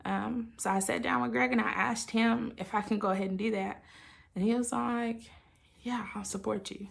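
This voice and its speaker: Casual woman vlogger with a gravelly edge. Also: fairly high pitch, fairly even intonation, moderate pace, slight background noise. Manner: informal, chatty, candid. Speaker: vlogger sharing a personal video diary. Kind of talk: casual confessional chat to viewers.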